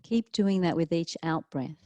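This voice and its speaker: A woman teaching yoga leads a guided relaxation, calmly and gently, leaving long pauses between short phrases. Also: lowish voice, no echo, highs cut off. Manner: calm, soothing, gentle instruction with long pauses. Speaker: yoga teacher leading a class.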